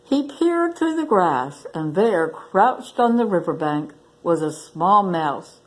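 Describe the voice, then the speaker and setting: A woman reading a children's picture book aloud in a deliberate, expressive storytelling manner. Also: lowish voice, rough recording. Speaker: library staff story reader.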